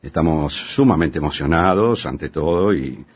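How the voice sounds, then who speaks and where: An actor with a Buenos Aires accent playing a pushy, blustering amateur actor in a radio comedy, husky and rambling. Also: male voice, very deep voice, a little slow, exaggerated voice. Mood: flustered, eager. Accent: Buenos Aires Argentine. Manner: stammering, rambling, excitable. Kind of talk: comic radio theatre dialogue.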